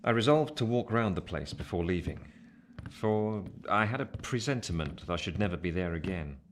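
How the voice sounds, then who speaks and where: Grave gentleman storyteller, lowish voice, solemn literary delivery, radio play narration, slight background noise. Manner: solemn, literary, deliberate phrasing. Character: grave gentleman narrator recounting his past. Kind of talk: dramatic scripted narration.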